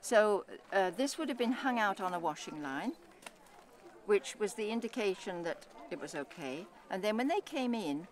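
Older woman, middle register, informal explainer, halting, matter-of-fact, recorded outdoors. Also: mid-range voice, expressive. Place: outdoor puppet booth at a farm.

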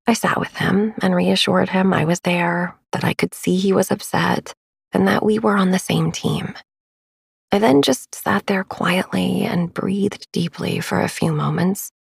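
A woman narrating a sleep meditation in a soft, breathy, gravelly voice with a soothing, unhurried delivery. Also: lowish voice, no echo, studio quality. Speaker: podcast host and meditation guide.

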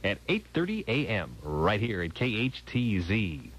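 Low-voiced, animated male radio DJ. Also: unhurried, husky. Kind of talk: 1980s FM radio announcing. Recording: noisy old radio cassette recording.

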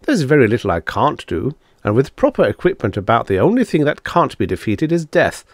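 A man's voice: medium pitch, big pitch swings, fairly quick, dry recording, clean studio sound, pitched above the performer's own voice. A man voicing a proud, suave devil in an audiobook, lecturing in a matter-of-fact, condescending way as he bargains. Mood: lofty, confident. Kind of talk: audiobook character dialogue.

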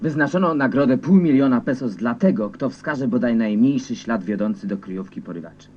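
A man's voice: mid-range voice, expressive, a little fast, a little mellow, lightly acted. Man doing a fanatic defence minister, official threats, emphatic, muffled recording, drama. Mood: menacing, zealous. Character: zealous hardline male defence minister, menacing.

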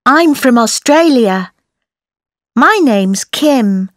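A woman voicing children and reading prompts in a textbook recording for young learners, in a clear, careful way. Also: a little high, expressive, very slow, dry recording, studio quality. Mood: cheerful. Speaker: textbook audio voice actor. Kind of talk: slow scripted textbook dialogue for children.

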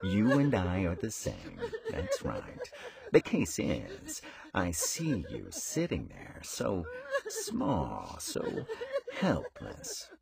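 A man's voice: deep voice, huge pitch swings, vocal fry, a crowd in the background, heavily acted. A man playing a sinister grown ice cream man in a horror game, brooding and coaxing, with a theatrical delivery. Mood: mocking, coaxing. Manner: slow, brooding, theatrical with sudden swoops. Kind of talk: horror game cutscene acting.

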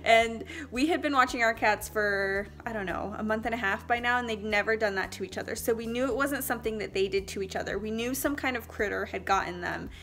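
A woman's voice: on the high side, brisk, hardly any pauses, fairly bright tone, faint music behind. A young woman vlogging about her home, talking chattily and upbeat straight to the camera through an earbud mic. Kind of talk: casual vlog chat to camera. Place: indoors, living room with ceiling fan. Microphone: wireless earbud mic.

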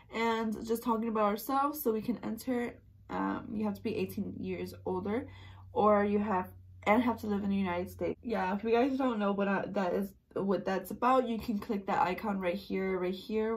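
A woman's voice: medium pitch, vocal fry, poor audio. A nineteen-year-old woman from the Chicago area talks straight into a close camera mic while she does her makeup. She is chatty and earnest, and leans on fillers like "you know" as she talks. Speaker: beauty vlogger. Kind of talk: casual talk to camera while doing makeup. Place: small indoor room in front of a painted brick wall.

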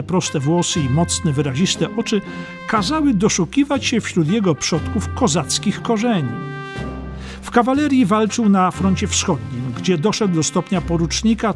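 A man reading scripted history narration as an audiobook narrator. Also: high voice.

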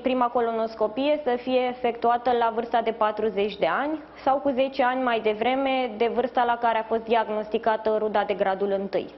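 A woman in her thirties, a doctor giving measured, formal explanations as a guest in a live news interview. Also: fairly high pitch, fairly even intonation. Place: indoors in a hospital, live video link. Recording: slightly dull sound, faint background noise.

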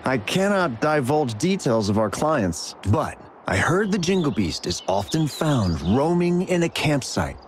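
A man's voice: a little high, very animated, unhurried, a little hiss or hum. A man playing a polite, apprehensive informant in a video game, with a deferential, cautioning manner. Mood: guarded.

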